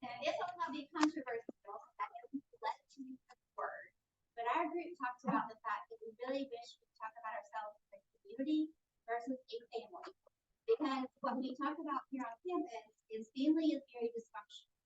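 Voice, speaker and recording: A woman's voice: sits high, expressive, a little fast. A woman reporting back for her group at a workshop, earnest and emphatic. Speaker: workshop participant. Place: large conference room. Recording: echoey, distant and dull room recording.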